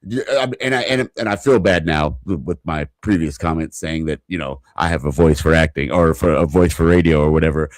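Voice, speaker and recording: A man's voice: very deep voice, a little fast, hardly any pauses, no echo. A man co-hosting a podcast, talking emphatically with lots of repeated restarts. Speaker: podcast co-host. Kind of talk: casual interview chat.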